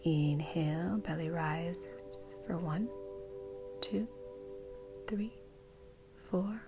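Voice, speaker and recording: A woman guiding a meditation in a soft, breathy, soothing way. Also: on the low side, very slow, over music. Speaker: meditation guide. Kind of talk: guided meditation.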